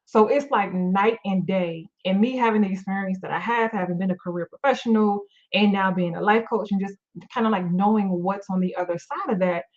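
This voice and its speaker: A tired woman life coach whose voice is going, talking in a mid-range pitch. She speaks candidly and loosely, with fillers and restarts, like a live chat with viewers. The audio is a little dull and muffled. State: losing her voice, tired. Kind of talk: live-stream motivational talk.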